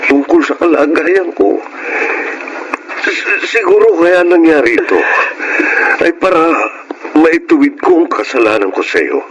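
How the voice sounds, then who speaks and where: A man's voice: mid-range voice, lo-fi sound, slight background noise. An actor playing a middle-aged widowed father, remorseful and earnestly pleading, in a Filipino radio drama.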